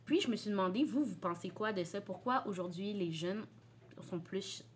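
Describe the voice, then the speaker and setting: Playful young Québécoise podcast host. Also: female voice, mid-range voice, moderate pace, slight background noise. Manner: playful, laughing, mixes in English words. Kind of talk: casual podcast chat.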